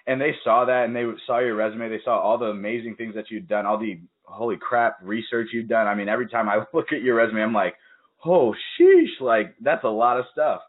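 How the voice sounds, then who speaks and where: A man's voice: mid-range voice, brisk. A young man hosting a podcast, chatting energetically and acting out stories with quoted asides. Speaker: podcast host. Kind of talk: casual interview chat. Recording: tinny phone-like call audio.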